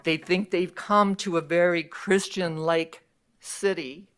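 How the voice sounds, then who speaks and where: Low-voiced woman who speaks slowly and earnestly; measured public comment at a council podium. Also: steady tone, a bit bright. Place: city council chamber.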